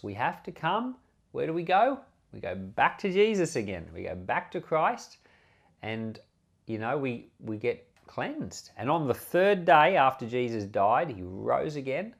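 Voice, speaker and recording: A man's voice: medium pitch, gravelly. A man giving an informal Bible teaching as a pastor, explaining conversationally with repeated phrases and rhetorical questions. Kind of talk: informal expository sermon, explaining scripture.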